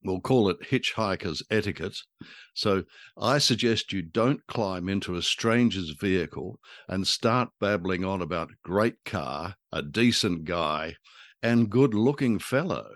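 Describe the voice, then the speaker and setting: Casting: older male co-host, deep voice, close dry sound, conversational. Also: lively intonation, unhurried, no room sound. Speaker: podcast co-host. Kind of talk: casual chat about a radio drama.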